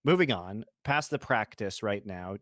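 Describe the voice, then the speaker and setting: A man's voice: on the low side, animated, a little slow, a bit bright, dry recording, clean studio sound. Playful man hosting a stream show. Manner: laughs easily, playful. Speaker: host.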